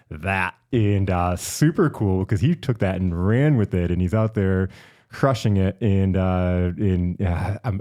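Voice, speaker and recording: A man hosting a casual podcast interview in a studio, loud and exuberant, laughing often. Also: deep voice. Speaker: podcast host.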